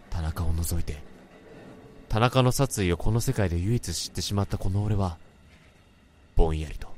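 A man's voice: sits low, a little mellow, a little hiss or hum, close to an everyday voice. A man playing a brooding office worker who narrates his story in a somber, introspective radio drama. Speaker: narrator and lead. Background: music.

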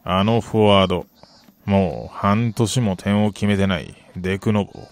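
An actor playing a middle-aged, wistful gambling soccer fan in a radio drama, polite and measured with a rueful, quietly menacing edge. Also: male voice, on the low side, lightly acted. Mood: quietly challenging. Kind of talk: Japanese radio drama acting.

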